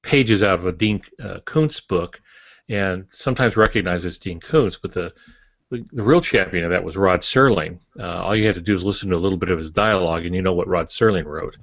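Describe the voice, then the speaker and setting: An older man co-hosting a podcast, explaining his thoughts reflectively and haltingly in casual discussion. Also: low voice, creaky, a bit bright, no room sound, lo-fi sound. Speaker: podcast co-host.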